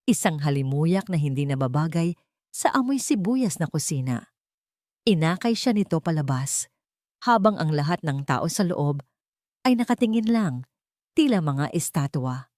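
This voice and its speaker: Female Filipino storyteller, lower register, dry studio sound, dramatic audiobook narration. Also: very animated, normal speed, long pauses, a little mellow, no room sound.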